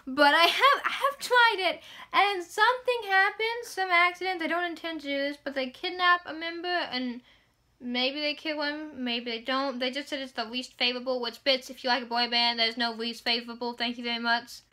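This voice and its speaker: A woman's voice: high voice, fairly even intonation, normal speed, pushed and bright. A young woman chatting casually as a book vlogger, with a gushing, emphatic fangirl delivery and informal, slangy wording. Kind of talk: casual book chat to camera.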